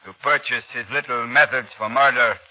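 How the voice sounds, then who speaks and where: An actor playing a ruthless, imperious general with clipped delivery in a 1930s radio drama. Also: male voice, medium pitch, fairly even intonation, normal speed, natural voice. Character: commanding, ruthless military general. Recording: dull vintage 1930s radio recording.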